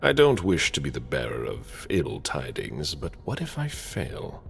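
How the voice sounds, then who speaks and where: A male vampire assassin, extremely low, lively intonation, wary, terse, formal, gravelly, game drama. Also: a little slow, soft background music, exaggerated voice. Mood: grave, dutiful. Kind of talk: dramatic video game cutscene acting.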